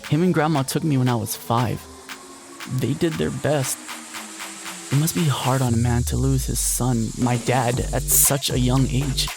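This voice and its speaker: Loud music plays under an actor as a grieving rural man in an audio drama. His voice has a gravelly edge, and he speaks in a wistful, reflective way with dramatic pauses. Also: medium pitch, steady tone. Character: grieving rural man fondly remembering his late grandfather.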